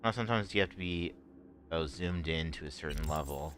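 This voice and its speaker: A man streaming a game, talking in a rambling, halting way with many fillers, his voice a bit rough and strained. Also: very deep voice, a bit bright, a little hiss or hum. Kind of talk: casual gameplay commentary.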